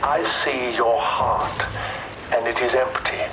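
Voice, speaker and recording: A man's voice: mid-range voice, steady tone, takes it slowly, noisy background, close to an everyday voice. A man voicing a menacing heretical prophet in a video game, solemn, grandiose and taunting. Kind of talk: video game villain monologue.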